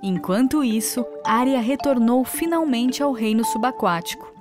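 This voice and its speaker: A woman narrating a children's fairy tale in a clear, scripted storytelling manner. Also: fairly high pitch, expressive, normal speed. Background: background music.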